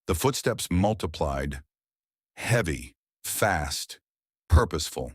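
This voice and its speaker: A man reading a psychological thriller audiobook with tense, dramatic pauses. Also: sits low, expressive, breathy edge, no room sound, clean studio sound. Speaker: audiobook narrator. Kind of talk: scripted thriller narration.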